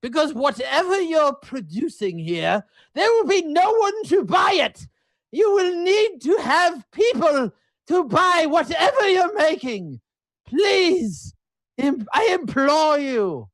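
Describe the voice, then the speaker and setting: Man playing a very high, pompous young aristocrat. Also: big pitch swings, slow, vocal fry, bright, forceful tone, no echo, full character voice. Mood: pleading. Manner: pompous, clipped formal phrasing, emphatic. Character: haughty, pleading young male aristocrat adventurer.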